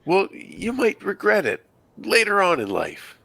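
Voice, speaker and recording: Man reading as a nervous, creaky-voiced teenage boy. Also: high-pitched, wildly swooping pitch, unhurried, sharp tone, dry recording, pitched above the performer's own voice, exaggerated voice. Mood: uneasy. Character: anxious teenage boy, polite and uneasy. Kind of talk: script table read.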